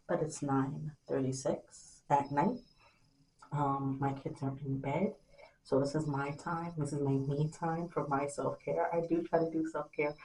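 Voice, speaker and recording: A young woman vlogger in a small room at home, talking softly and rambling to the camera at night, sometimes losing her train of thought. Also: low-quality recording. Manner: soft and low-voiced at night. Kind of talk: casual late-night chat to camera.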